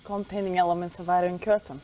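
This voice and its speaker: An actress playing a cool, ruthless Soviet intelligence chief in a video game cutscene, composed and controlled. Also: female voice, fairly low pitch, flat delivery, quick pace, warm tone, natural voice. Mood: businesslike.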